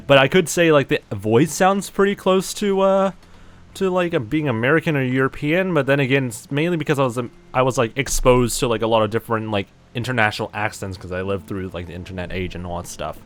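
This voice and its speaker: A man's voice: medium pitch, brisk. A man chatting casually while livestreaming a game, rambling with lots of fillers and poking fun at himself. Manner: rambling, many fillers, self-mocking. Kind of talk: casual gaming livestream commentary.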